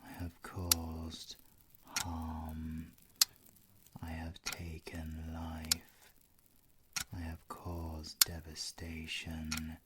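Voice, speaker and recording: A low British male voice acted by a man for a grieving werewolf villain in an audio drama. He is slow and halting, often sinking to a whisper, brooding and without remorse. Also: heavy background noise. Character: grieving, remorseless werewolf villain addressing his dead mother. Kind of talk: dramatic audio roleplay monologue.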